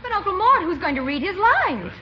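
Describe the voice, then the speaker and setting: An actress playing an eager, excitable young niece in a 1940s radio comedy. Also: female voice, very high-pitched, brisk. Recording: muffled, very noisy old radio recording.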